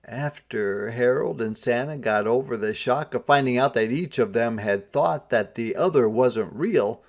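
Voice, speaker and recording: A man reading a storybook aloud to children as a teacher, pausing to ask questions and explain things simply. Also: mid-range voice, a little mellow. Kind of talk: interactive storybook read-aloud.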